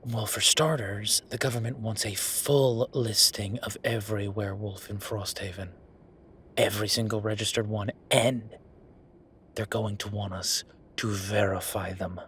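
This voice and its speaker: Guy doing angry werewolf bf roleplay, lowish voice w hard edge, sarcastic n sweary, fed up office worker venting. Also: steady tone, measured pace, fairly bright tone, a little hiss or hum. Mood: exasperated. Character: angry, exasperated male werewolf boyfriend, bitter office worker. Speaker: audio roleplay performer. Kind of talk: dramatic boyfriend roleplay monologue.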